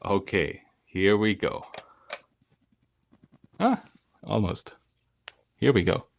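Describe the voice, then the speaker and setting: A man's voice: on the low side, very animated, takes it slowly, vocal fry, dry recording. A man hosting a live show, presenting with upbeat energy and welcoming his guest. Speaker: host.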